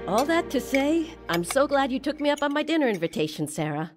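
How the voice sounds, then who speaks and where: An actress playing a gentle, worried middle-aged widowed mother in a radio drama. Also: sits high, very animated, fairly quick, music in the background. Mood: gently pleading.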